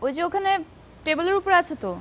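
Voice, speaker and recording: A woman's voice: extremely high pitch, fast, a bit bright. A woman with a Bangladeshi Bengali accent playing a teasing, sceptical young girlfriend in a TV drama, coy and chiding as she questions. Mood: mildly exasperated. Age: young adult. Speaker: actor. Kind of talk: naturalistic TV drama dialogue.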